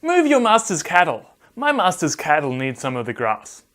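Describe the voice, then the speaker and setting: Man doing bossy, quarrelsome herdsmen, swooping pitch. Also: huge pitch swings, moderate pace, fairly bright tone. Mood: argumentative. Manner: bossy, argumentative. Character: quarrelsome herdsman arguing over grazing land. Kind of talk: Bible storytelling for children.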